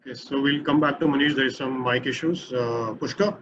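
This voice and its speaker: A man moderating an online workshop in a relaxed, conversational way over a video call. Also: mid-range voice, fairly quick, background noise. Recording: muffled video-call audio.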